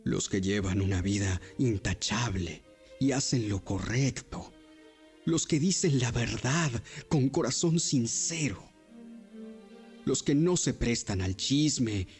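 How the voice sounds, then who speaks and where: Male scripture reader, mid-range pitch, slow measured pace, soft music behind. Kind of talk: scripted psalm reading. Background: faint music.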